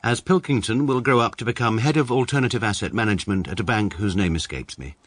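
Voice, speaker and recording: An actor as a deadpan, deep-voiced barrister narrator. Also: male voice, quick pace, no echo, close to an everyday voice. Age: young adult. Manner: deadpan, precise, self-mocking. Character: dry, pedantic male barrister narrating his life. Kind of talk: comic radio drama narration.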